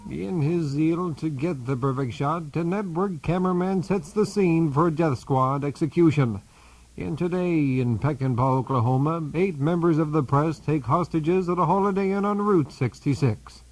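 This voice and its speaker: Male actor as self-important anchorman, solemn mock-news read, warm and slightly high voice. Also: rough recording. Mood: grave. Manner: solemn, measured. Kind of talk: mock news broadcast narration.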